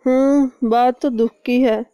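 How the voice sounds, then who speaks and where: A woman's voice: high voice, fairly even intonation, slow pace, warm tone, smooth, no room sound, dull audio. A woman voicing a helpful, gullible male crow in a cartoon, with a trusting, conversational manner.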